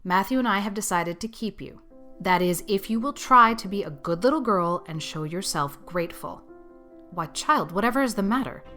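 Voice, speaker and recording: A woman voicing a stern, practical older spinster in an audiobook, speaking in a brisk, grim, disapproving and matter-of-fact way. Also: medium pitch, expressive, bright, forceful tone, faint music behind. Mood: gruff, matter-of-fact. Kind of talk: audiobook character acting.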